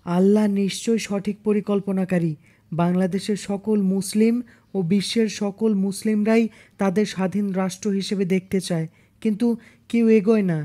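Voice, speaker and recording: A woman's voice: mid-range voice, fairly even intonation, normal speed, mellow, natural voice. A woman voicing an earnest, devout young boy in a cartoon, exhorting others in a preachy way. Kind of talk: cartoon dialogue.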